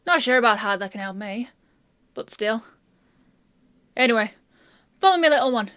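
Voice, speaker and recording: A woman's voice: fairly high pitch, animated, moderate pace, a bit bright, smooth, dry recording, clean studio sound. A woman playing a bossy, teasing female apothecary in a fantasy audio roleplay, with a chatty, sardonic, playfully scolding manner. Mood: brisk, bossy.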